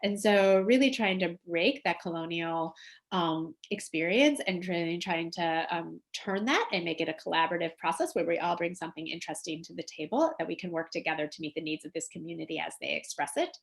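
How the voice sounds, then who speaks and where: A woman's voice: fairly low pitch, fairly quick. A middle-aged woman giving an informal online presentation as a guest lecturer, earnest and conversational, stressing key words. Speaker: guest lecturer, humanitarian nurse. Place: small indoor room at home or office. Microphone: computer mic on video call.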